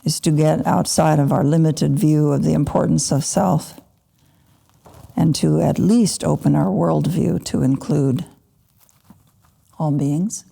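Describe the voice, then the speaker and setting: A woman with a low, gravelly voice leads a guided meditation. Her tone is dark and mellow, and she speaks as a teacher in short phrases with unhurried pauses. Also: fairly even intonation. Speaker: Buddhist meditation teacher (lama).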